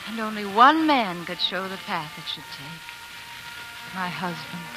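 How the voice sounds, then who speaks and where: An actress playing a devoted, resolute wife of an explorer in a 1940s radio drama, with earnest, refined delivery. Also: female voice, on the low side, expressive, unhurried, low-quality recording. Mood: earnest, proud narration. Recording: vintage 1940s radio broadcast, music underneath.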